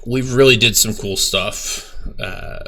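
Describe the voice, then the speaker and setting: A young man, a bassist, talking casually about his band's video in a laid-back, rambling way with lots of fillers and some swearing. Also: slow pace, gravelly, sharp tone, far from the mic. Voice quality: low voice. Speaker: bass player reacting to his band's video. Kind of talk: casual reaction vlog.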